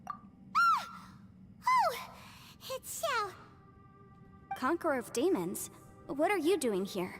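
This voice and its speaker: A tiny fairy girl, extremely high and squeaky, cheeky and excitable. Her pitch leaps wildly from line to line. It is game dialogue with long reverb under music. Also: takes it slowly, pauses often, full character voice. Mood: curious, surprised. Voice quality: squeaky, childlike. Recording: game audio over loud music, reverberant.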